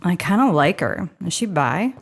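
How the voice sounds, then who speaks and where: A woman's voice: fairly low pitch, big pitch swings, moderate pace, husky, exaggerated voice. A woman playing a blunt, nosy, flippant crew companion in a casual sci-fi audio drama.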